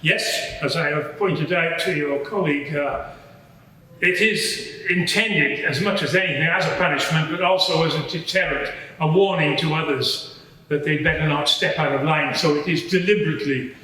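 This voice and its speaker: A man's voice: on the high side, bright, forceful tone. Man acting a Roman centurion in an echoey courtroom. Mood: matter-of-fact, grim. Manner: hesitant, measured, explanatory. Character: Roman centurion witness, matter-of-fact, shaken soldier. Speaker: witness at a mock inquiry. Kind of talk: semi-improvised courtroom testimony. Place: large reverberant courtroom. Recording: very echoey hall, distant mic.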